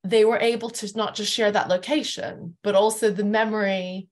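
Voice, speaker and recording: Middle aged lady hosting a panel on a video call, normal pitch. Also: fairly even intonation, normal speed. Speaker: panel host. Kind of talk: moderating an online panel discussion. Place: indoor room at home, video call.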